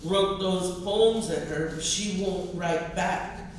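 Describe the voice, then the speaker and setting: A woman poet speaks at a live reading with slow, expressive delivery and firm emphasis. She sounds distant, in a very reverberant indoor space with clear background noise. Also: lowish voice. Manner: expressive, emphatic, with repetition for effect. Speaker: poet and professor. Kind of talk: live poetry reading and audience talk. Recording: distant, very echoey, noisy room.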